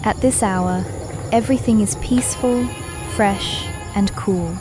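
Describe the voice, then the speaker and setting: A young woman from Vietnam, narrating a travel vlog about her home village in a calm, measured, explanatory voice-over. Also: medium pitch. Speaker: vlogger. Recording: voice-over with clear background music. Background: background music.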